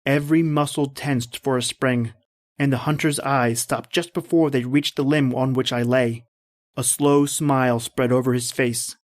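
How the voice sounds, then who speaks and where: An actor playing a tense, determined hunted man, narrating his ordeal gravely and deliberately as in an old-time radio drama. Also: mid-range voice, steady tone, lightly acted. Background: background music.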